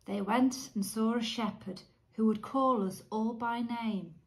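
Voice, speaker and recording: A woman's voice: fairly high pitch, steady tone, unhurried. A woman reciting a storytelling ballad in verse. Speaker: church group leader reading aloud.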